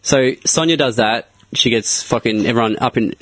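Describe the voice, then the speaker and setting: A man's voice: medium pitch, normal speed, fairly bright tone, no echo, low-quality recording. A man, blunt and swearing in a casual chat.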